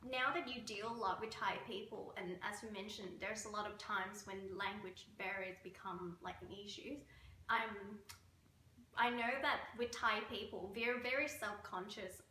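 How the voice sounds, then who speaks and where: A woman's voice: mid-range voice, fairly even intonation, moderate pace, bright, forceful tone, echoey room, a little hiss or hum, low-quality recording. A woman interviewing a guest, asking questions casually with "like" fillers.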